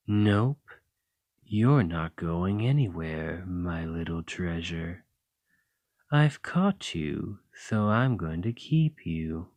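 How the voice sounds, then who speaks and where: A man voicing a doting, teasing male dragon lover in an ASMR roleplay, talking softly and coaxingly. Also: low voice, no room sound, heavily acted. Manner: soft, playful and coaxing.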